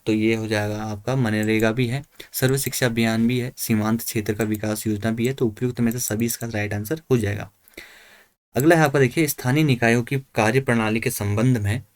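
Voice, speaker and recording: A man working through exam questions and answers informally, as a coaching teacher explaining to students. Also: medium pitch.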